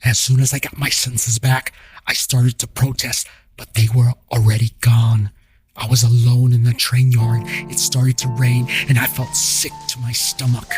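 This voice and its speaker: A man with a mid-pitched voice narrates a scripted tale in the first person, in audio drama style. Guitar music plays clearly behind him. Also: level tone, measured pace.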